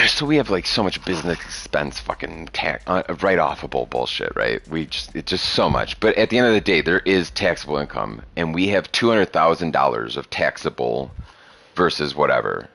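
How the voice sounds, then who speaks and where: A man's voice: a bass voice, gravelly, pushed and bright. A man in his thirties talking bluntly and profanely in a rambling casual podcast chat. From Chicago area. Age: 30s. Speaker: podcast host.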